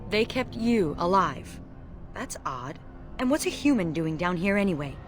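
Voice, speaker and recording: A woman's voice: fairly low pitch, very animated, a little slow, music in the background. A woman playing a stern, fatalistic dwarf warrior in a video game, speaking in an earnest, wry way. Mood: suspicious, indignant.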